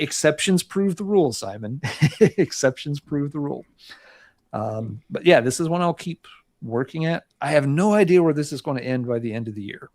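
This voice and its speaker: A man's voice: fairly high pitch, a little mellow, dry recording. Thoughtful man reviewing albums, dry mic. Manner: thoughtful, measured, with restarts. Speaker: podcast co-host. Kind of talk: casual podcast chat reviewing albums.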